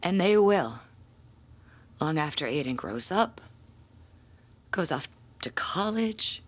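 A stubborn, dramatic churchgoer, slow and swooping. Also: female voice, deep voice, big pitch swings, heavily acted. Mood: proud, bitter. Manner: defensive, dramatic, sighing and groaning. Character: stubborn, possessive adult female church bell ringer, self-righteous. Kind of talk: naturalistic audio drama acting.

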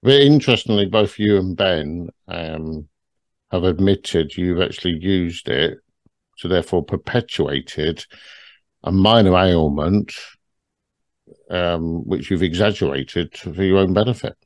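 A man with a very deep voice, recorded close and dry with no room sound. He leads the discussion, asking probing questions and reflecting the others' points back to them. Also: a little slow, dry recording. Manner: probing, sums up what others say. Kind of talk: moderating a group discussion.

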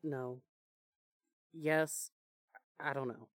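A woman playing a grieving, foul-mouthed, defensive young man in an audio drama, with halting, vulnerable delivery. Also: extremely low pitch, steady tone, dry recording, clean studio sound, full character voice. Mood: guarded, subdued. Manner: halting, swearing, raw and vulnerable. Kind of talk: fan fiction audio drama acting.

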